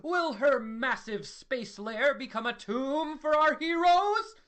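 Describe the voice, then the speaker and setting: A woman's voice: sits high, lively intonation, slow pace, fairly bright tone. A woman narrating a comic sci-fi serial in a theatrical, suspenseful announcer style. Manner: dramatic, suspenseful announcer delivery.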